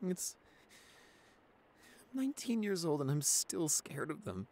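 Man acting as shy teenage boyfriend, higher-pitched voice, anxious, clingy, stammering, apologetic, ASMR roleplay. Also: very animated, a little slow, darkish tone. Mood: apologetic, embarrassed. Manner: stammering, hesitant, pleading.

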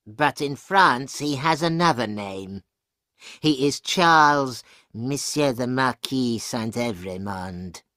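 A man voicing a sly informer who reveals secrets in a drawn-out, pointed way, in an audiobook. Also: mid-range voice, lively intonation, a bit bright. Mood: knowing.

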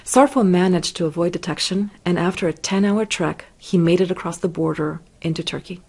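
A woman's voice: fairly low pitch, fairly quick, darkish tone. A woman reading scripted narration for a news report.

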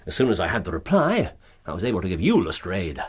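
An actor playing an incisive, superior English gentleman detective with a husky voice and dry, precise manner in a radio drama. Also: low voice, huge pitch swings, fast, exaggerated voice. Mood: assured, explanatory. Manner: precise, superior, dryly sardonic.

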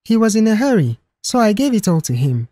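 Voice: medium pitch, sing-song, fairly quick, warm tone, no room sound, pitched above the performer's own voice. A storyteller voicing a polite, careful and nervous young woman who works in an office. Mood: nervous, explaining.

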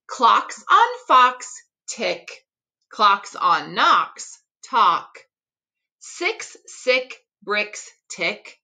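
Animated woman reading slowly to children. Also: medium pitch. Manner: deliberate, carefully articulated tongue twisters. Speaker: teacher reading aloud. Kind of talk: expressive children's picture book read-aloud. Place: living room at home.

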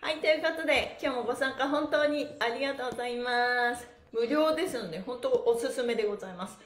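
A woman's voice: a little high, fast talker. A middle-aged woman hosting a live stretching class, giving cheerful, encouraging calls and friendly chat with playful polite wording. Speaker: stretching instructor and livestream host. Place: small bare indoor room with wooden floor. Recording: echoey room with background music. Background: music.

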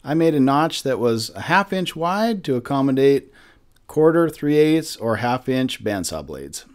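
A man's voice: mid-range voice, sing-song, a little slow, smooth. Man explaining a shop project. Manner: friendly, explanatory, step-by-step. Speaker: woodworking instructor. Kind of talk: instructional shop tutorial. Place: wood shop with lumber racks, indoors.

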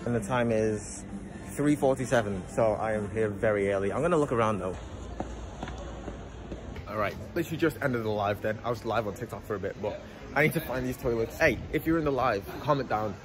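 A man's voice: fairly low pitch, fairly quick, over music. A young man with a Scouse accent, chatting casually to his phone camera while travelling through an airport and a hotel. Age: young adult. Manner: chatty, talks to camera on the move. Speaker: vlogger. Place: airport, plane and hotel, indoors. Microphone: handheld phone at arm's length.

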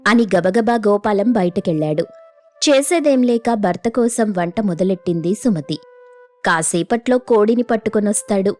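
A woman's voice: mid-range voice, moderate pace, a little mellow, faint music behind. A woman narrating a scripted moral folk tale as the storyteller.

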